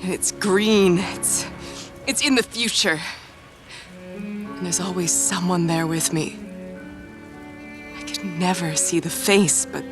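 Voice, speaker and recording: Blunt young woman, low-pitched voice. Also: fairly even intonation, slow, pauses often, music in the background. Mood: earnest, pleading. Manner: blunt, sweary, emphatic. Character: defiant young woman haunted by visions, foul-mouthed, vulnerable. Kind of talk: video game cutscene acting.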